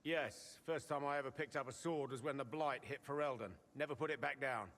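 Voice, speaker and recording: A man's voice: medium pitch, brisk, fairly bright tone, long reverb tail, lightly acted. An actor playing a practical male mercenary healer in a video game, dry and matter-of-fact. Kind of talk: video game character dialogue.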